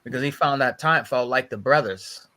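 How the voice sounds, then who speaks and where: A man's voice: medium pitch, a little fast, bright, forceful tone. A man chatting casually and telling stories as a podcast co-host, repeating phrases for emphasis and often saying bro. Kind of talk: casual chat, gossiping and storytelling.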